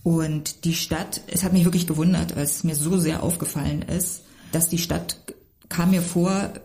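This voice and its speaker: Low-voiced reflective woman from Berlin. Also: steady tone, normal speed, dark tone. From Berlin, Germany. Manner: reflective, rambling, adds tag questions. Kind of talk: casual podcast conversation.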